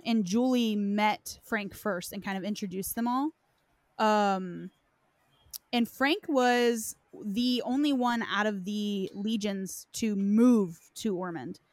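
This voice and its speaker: A woman's voice: mid-range voice, no echo. A woman hosting a podcast, chatting informally and recounting lore in a conversational way. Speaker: podcast host. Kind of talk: casual chat, recounting game lore.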